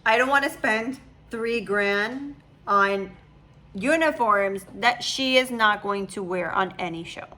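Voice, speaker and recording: A woman's voice: mid-range voice, slow pace, pushed and bright. A young woman, a mother, chatting casually and rambling as she explains her view. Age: young adult. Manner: chatty, rambling, laughs often. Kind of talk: casual chat.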